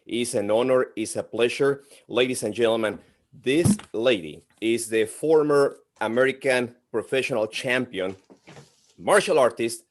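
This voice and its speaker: A man from Mexico City with a Mexican Spanish accent hosting an interview in halting English, often restarting his sentences. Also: mid-range voice. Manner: halting, with many restarts and filler sounds. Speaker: interview host. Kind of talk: casual interview.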